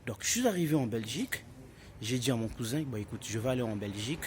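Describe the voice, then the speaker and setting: A man's voice: medium pitch, expressive, fast. A man with a Congolese French accent casually tells his personal story in an emphatic, repetitive way, addressing listeners as buddies. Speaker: vlogger telling his own story. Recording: clearly noisy ambient background.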